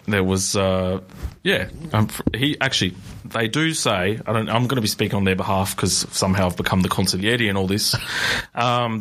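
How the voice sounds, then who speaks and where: A man's voice: fairly low pitch, a bit bright. A man hosting a motorsport talk show, chatting casually and rambling through fillers and restarts. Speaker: podcast host. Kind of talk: casual chat.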